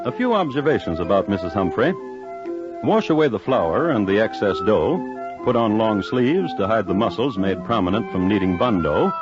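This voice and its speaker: A man narrating an old radio crime drama in a scripted, deadpan, droll manner. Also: fairly low pitch, normal speed. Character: droll storyteller of historic crimes. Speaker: host narrator. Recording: dull old radio recording, music behind.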